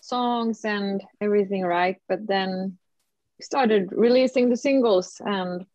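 A woman's voice: mid-range voice, unhurried, darkish tone. A woman in her thirties from Finland, a native Swedish speaker with a Finland Swedish accent, chatting casually as a singer guest on a video call, with many fillers. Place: home room, indoors. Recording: dull lo-fi video call audio.

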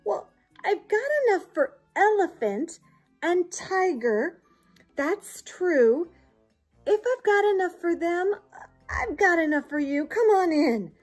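A woman's voice: very high voice, expressive, slow pace, a little mellow, soft background music, pitched above the performer's own voice, full character voice, low-quality recording. A woman voicing an exasperated but hospitable young boy in a storybook, with dramatic dismay. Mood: resigned welcoming.

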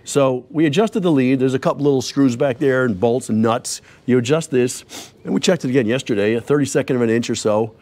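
An older man walks through the machinery of an outdoor sawmill shed, talking in a plain, folksy way. He drops the odd wry aside as he points things out. His voice sits at an ordinary pitch and comes through close on a clip-on mic. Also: fairly quick, a little mellow. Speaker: host showing his restored sawmill. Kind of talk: casual show-and-tell explanation. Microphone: lapel mic.

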